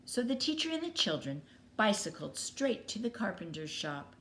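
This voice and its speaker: A woman's voice: mid-range voice, sing-song, moderate pace, a bit bright. A woman storyteller reading a children's story aloud expressively. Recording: clearly noisy background.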